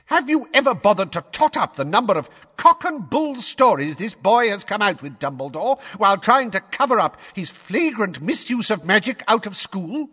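A man voicing a pompous, blustering middle-aged official who sputters and shouts indignantly. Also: sits high, big pitch swings, moderate pace, few pauses, breathy edge, in a large hall, pitched above the performer's own voice, heavily acted. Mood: indignant, shouting.

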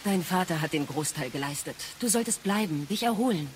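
A woman playing a wise, sorrowful exiled goddess and mother in an audio drama, speaking in a measured, solemn way. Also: fairly low pitch, expressive, slight background noise, lightly acted. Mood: gentle. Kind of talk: dramatic audio play acting.

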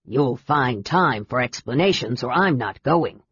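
A woman's voice: extremely low pitch, lively intonation, measured pace, fairly bright tone, husky, dry recording, muffled recording, voice pushed down, full character voice. A woman voicing an irascible, stubborn old male dwarf in an audiobook fantasy story. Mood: defiant. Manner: grumbling, stubborn.

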